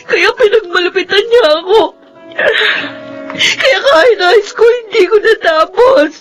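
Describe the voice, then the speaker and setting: A high-pitched young Filipino housemaid in a radio drama, bitter and curt, with a wounded, accusing edge. Music runs behind the voice. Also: female voice, lively intonation, measured pace, poor audio. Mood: anguished, furious. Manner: curt, accusing, emotional delivery.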